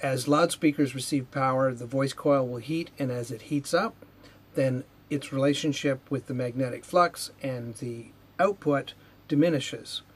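A man in his fifties giving an unhurried technical explanation to camera in a measured, matter-of-fact way, with a slightly husky voice. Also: mid-range voice. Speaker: presenter, loudspeaker R&D manager. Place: indoor video studio with whiteboard.

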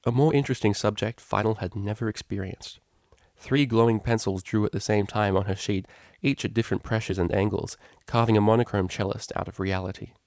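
A man's voice: lowish voice, steady tone, a little fast, a little mellow, no echo, clean studio sound. A man reading a scripted story aloud as an audiobook narrator. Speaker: audiobook reader.

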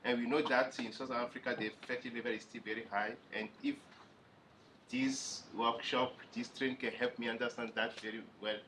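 A man introducing himself at a seminar table, speaking haltingly and repeating words. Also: medium pitch, little pitch movement, noisy background. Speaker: workshop participant introducing himself. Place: conference room around a table.

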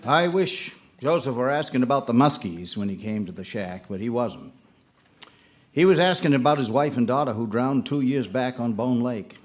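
Small-town local narrator played by a male actor, folksy and plainspoken, medium pitch, grim audio drama storytelling. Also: lively intonation, normal speed, background noise, close to an everyday voice. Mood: reflective, grim.

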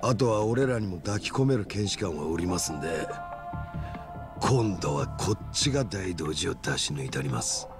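Low voiced kansai yakuza, polite but threatening, video game scene w music behind. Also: male voice, lively intonation, moderate pace. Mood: confident. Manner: polite speech with blunt, threatening edges. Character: menacing, calculating male yakuza, politely pressing a bargain. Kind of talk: dramatic video game cutscene acting.

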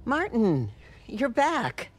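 A woman playing a kindly, caring aunt in a video game cutscene, speaking gently. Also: a little high, wildly swooping pitch, drawn-out words, faint music behind, exaggerated voice. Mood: gentle, caring. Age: 60s. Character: kindly, caring older aunt running a shelter.

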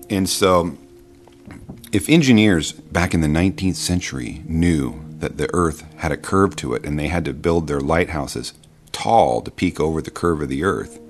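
A man giving an informal explanatory voice-over, conversational and halting, patiently reasoning through his points. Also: sits low. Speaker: video narrator explaining science.

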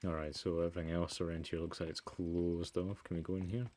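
Very deep-voiced man doing let's play. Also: little pitch movement, quick pace, darkish tone. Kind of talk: gameplay commentary and reading dialogue aloud.

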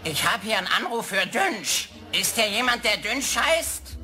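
A man voicing a grumpy, short-tempered middle-aged bartender in a dubbed cartoon, gruff and blustering. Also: high voice, sing-song, unhurried, sharp tone, soft background music, exaggerated voice. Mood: calling out, puzzled. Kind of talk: German-dubbed cartoon acting.

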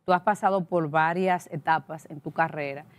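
A woman, hosting a TV interview show with measured, formal questions in a studio. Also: deep voice, steady tone. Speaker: TV interview host. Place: TV studio set.

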